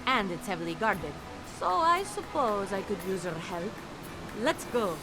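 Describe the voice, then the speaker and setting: A woman's voice: mid-range voice, big pitch swings, measured pace, over music, poor audio. A woman playing a sly, confident, teasing pirate rogue in a video game, with a cool manner. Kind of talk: animated video game acting.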